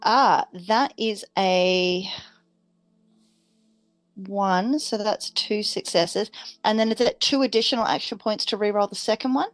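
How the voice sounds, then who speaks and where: A woman's voice: medium pitch, unhurried, soft background music. A playful, irreverent woman, chatting casually as a player in a tabletop game.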